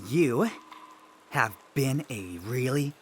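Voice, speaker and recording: A man playing an awkward, childlike monk who speaks haltingly in a fantasy audio drama. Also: medium pitch, lively intonation, over music. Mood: clumsily scolding. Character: awkward, childlike, well-meaning male monk.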